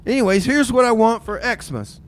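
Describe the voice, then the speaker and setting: A man's voice: very high voice, animated. A man hosting community radio, laid-back and easygoing, drawling his words and calling people "man.". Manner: easygoing, drawn-out, says "man" a lot.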